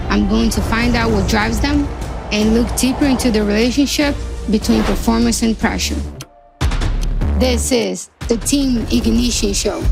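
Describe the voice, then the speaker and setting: Gravelly upbeat host from Brazil. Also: female voice, a little high. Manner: upbeat, friendly questioning. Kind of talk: podcast host intro and interview. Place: outdoors on a beach. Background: music.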